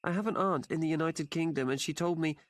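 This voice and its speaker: A man telling his story for a charity video, talking quietly and sadly. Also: on the high side, quick pace, no echo. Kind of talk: subdued personal testimony. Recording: dry clip played from a video.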